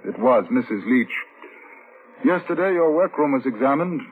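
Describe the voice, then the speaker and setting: An actor playing a composed, methodical police inspector who narrates and questions in a measured, formal, politely probing way, in old-time radio drama style. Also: male voice, medium pitch, animated, natural voice. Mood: firm, accusing. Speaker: detective and narrator. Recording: tinny, hissy vintage radio recording.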